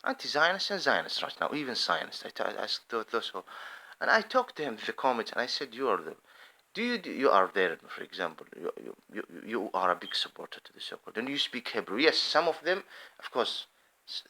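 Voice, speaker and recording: A man delivers a fervent, meandering monologue, repeating himself and restarting sentences as he goes. His pitch leaps and falls with lively swings, and his tone is bright and forceful. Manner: impassioned, rambling, many restarts. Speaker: video commentator. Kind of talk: unscripted monologue.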